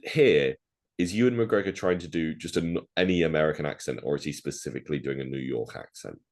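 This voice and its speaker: A man's voice: rumbling low, fairly quick. A man co-hosting a film podcast, chatting casually and rambling with lots of restarts and fillers. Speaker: podcast co-host. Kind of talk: casual chat.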